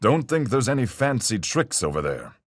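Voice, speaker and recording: An actor playing a gruff, level-headed sea captain with salty slang and a folksy, measured manner in a video game. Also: male voice, mid-range voice, very animated, no echo, studio quality. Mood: analytical, measured. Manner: salty seafaring slang, measured deductive delivery. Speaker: voice actor in a fan-made mystery game. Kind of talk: video game character voice lines.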